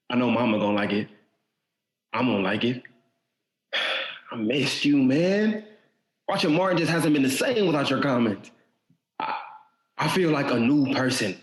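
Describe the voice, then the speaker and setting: An actor playing an earnest, vulnerable teenage schoolboy in an audio drama, tending to stammer. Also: male voice, medium pitch, lightly acted. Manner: earnest, stammering when pressed. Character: hurt, pleading teenage schoolboy, earnest younger brother. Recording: roomy, echoing sound.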